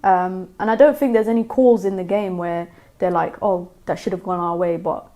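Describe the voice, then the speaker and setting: A woman's voice: fairly low pitch, steady tone, dark tone. A young woman with Somali roots, a panelist on a fan show, giving firm football opinions in casual chat. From Somali background. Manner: fast, assertive opinions.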